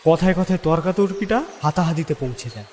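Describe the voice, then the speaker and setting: A man's voice: fairly high pitch, animated, fast talker, darkish tone, slight background noise, lightly acted. A man playing a lovelorn young village man, earnestly recounting his troubles in an audio drama. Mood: troubled recounting. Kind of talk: dramatic first-person storytelling.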